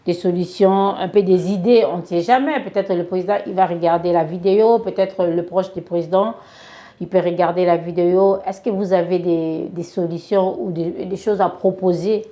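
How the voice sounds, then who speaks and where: A woman's voice: lowish voice, fairly even intonation, moderate pace, warm tone. A woman interviewing a guest, pressing him with pointed questions. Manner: probing, asks pointed questions. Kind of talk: political interview.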